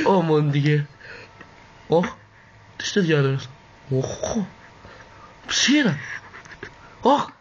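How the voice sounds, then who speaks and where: Man as a sassy, high-voiced vulgar woman. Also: a bit bright, slightly rough, exaggerated voice. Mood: panicked. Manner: campy, swooping, crude, exclaiming. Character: sassy, vulgar, vain female character in a parody. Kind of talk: amateur comic parody dub. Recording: muffled, noisy home recording.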